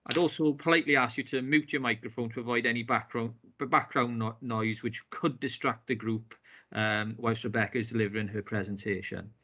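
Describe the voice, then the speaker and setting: A man hosting an online business meeting, polite and filling pauses with "um" and "uh" as he restarts phrases. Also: mid-range voice, steady tone, pushed and bright, slightly rough. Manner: polite, with frequent fillers and restarts. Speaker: business club host. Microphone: video call. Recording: dull lo-fi video call audio.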